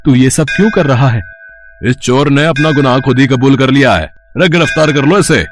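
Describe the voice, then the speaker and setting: A man's voice: mid-range voice, moderate pace, music in the background, lightly acted. A man voicing a bossy middle-aged village chief who gives orders. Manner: bossy, decisive.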